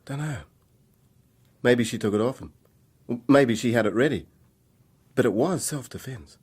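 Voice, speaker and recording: A man's voice: medium pitch, moderate pace, darkish tone, slightly rough, close to an everyday voice. An actor in a radio drama playing a troubled young man on the run, speaking in a hesitant, confessional way. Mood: uneasy, confessional.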